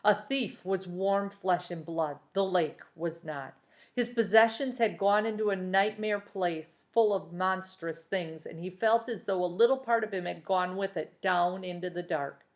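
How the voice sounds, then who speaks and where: A woman's voice: lowish voice, unhurried. Woman reading a storybook aloud expressively. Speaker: reader reading a children's novel aloud. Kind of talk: expressive storybook narration with brief asides to listeners.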